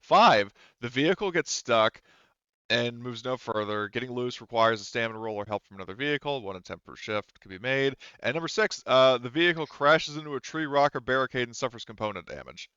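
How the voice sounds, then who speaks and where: A man running a tabletop roleplaying game as game master, talking casually and pausing often mid-sentence, on slightly dull audio. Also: mid-range voice, lively intonation, pushed and bright, smooth, no echo.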